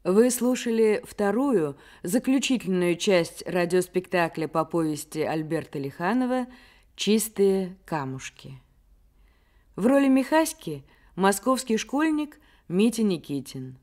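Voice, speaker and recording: Female announcer, formal scripted radio delivery, unhurried, middle pitch. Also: expressive, a little mellow.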